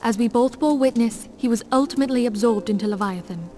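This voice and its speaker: A woman playing a composed, learned noblewoman leader in a video game, speaking formally and with measured, archaic diction. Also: a little high, dark tone, a little hiss or hum, close to an everyday voice. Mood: grave, reflective. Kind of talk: video game cutscene acting. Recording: orchestral music behind the voice. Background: background music.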